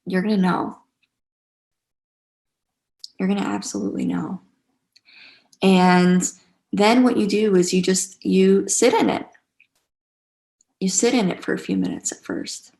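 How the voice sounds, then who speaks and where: A woman teaching a spiritual class online in a casual, gentle and encouraging way, repeating phrases for emphasis, with a creaky voice. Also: mid-range voice, lively intonation, unhurried, long pauses. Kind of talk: casual guided teaching to a live online group.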